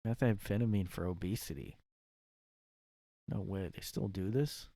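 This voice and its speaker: A man's voice: low-pitched, moderate pace, dark tone, no echo, clean studio sound. A man hosting a casual comedic solo podcast from his bedroom, rambling with jokes and fillers. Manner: rambling, jokey, with frequent fillers. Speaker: podcast host.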